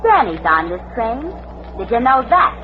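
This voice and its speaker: A woman's voice: a little high, very animated, moderate pace. An actress playing a scorned, hard-boiled, slangy woman who threatens and pleads in a radio melodrama. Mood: bitter, pleading. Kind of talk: 1940s radio crime melodrama. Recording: noisy, dull vintage radio recording.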